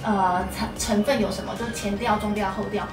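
A young woman with a Taiwanese Mandarin accent, hosting a chatty promotional interview in an expressive, enthusiastic way. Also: mid-range voice, fast. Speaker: host. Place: small wood-panelled room indoors. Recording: roomy, distant mic, music behind.

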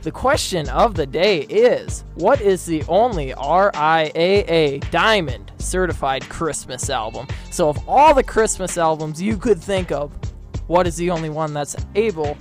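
A man's voice: a little high, lively intonation, unhurried. A young man hosting as a college radio DJ in an upbeat broadcast style. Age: young adult. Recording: music bed behind the voice.

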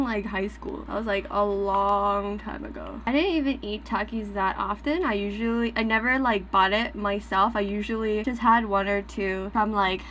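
A woman in her twenties chatting casually as she cooks and eats on camera, full of "like" fillers, sometimes dropping to a soft whisper. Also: mid-range voice, slight background noise, low-quality recording. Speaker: mukbang creator. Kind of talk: casual commentary while cooking and eating. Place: small indoor room with dark backdrop.

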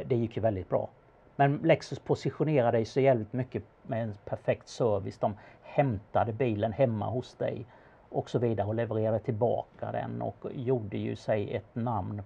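A man with a creaky, gravelly voice and a mellow, relaxed tone talks as an expert in a casual studio conversation. He pauses often mid-sentence as he explains. The sound is slightly dull. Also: fairly low pitch. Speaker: car expert answering questions about an auction car.

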